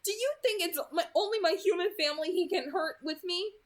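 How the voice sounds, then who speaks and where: A woman's voice: extremely high pitch, expressive, takes it slowly, a bit bright, voice pushed up, heavily acted. A woman voicing an anxious, troubled teenage girl in an audiobook, speaking earnestly with some strain. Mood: upset.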